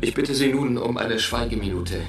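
A man's voice: mid-range voice, moderate pace, lightly acted. An actor playing an earnest businessman delivering a formal, solemn, declamatory speech in a radio play. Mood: impassioned, resolute. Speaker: company executive. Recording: echoey hall, distant, music behind.

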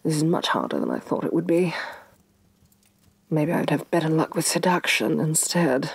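A woman playing a domineering, vain evil sorceress queen in a soft-spoken ASMR fantasy roleplay, imperious and sardonic, with breathy condescension. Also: sits low, moderate pace, no room sound, full character voice. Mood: haughty, impatient. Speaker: voice actress.

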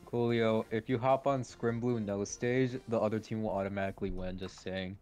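A young man with a fairly low voice trades slangy, joking banter while commentating on a game. There is faint music in the background. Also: fairly even intonation, normal speed, darkish tone. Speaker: esports scrim commentator.

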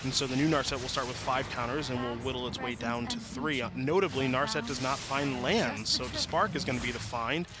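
A man's voice: medium pitch, brisk, hardly any pauses. A man giving methodical, explanatory match commentary into a headset as an esports analyst. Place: broadcast desk in an event arena. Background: music.

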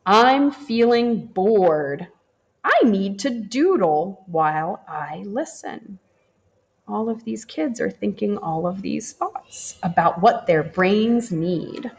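Teacher lady reading a kids' picture book aloud, slow and gentle, voice bounces up and down a lot. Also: medium pitch. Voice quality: expressive and animated, slow and gentle. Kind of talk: children's storybook read-aloud. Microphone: camera over the book, hands turning pages.

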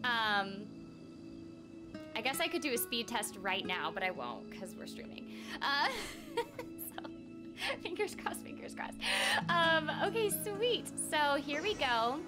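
A young woman streams a game in a high, very animated voice. She is excitable and giggly, repeating little phrases as she chats. Game music plays clearly behind her. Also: slow, pauses often. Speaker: streamer and voice actress. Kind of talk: casual live gaming stream chat.

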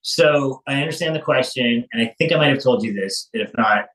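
A middle-aged man speaking earnestly and haltingly in an interview, reflecting as he goes with deliberate pauses. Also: mid-range voice, fairly bright tone. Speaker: studio head being interviewed. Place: small office, on a video call. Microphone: phone some way off. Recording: roomy, distant phone microphone.

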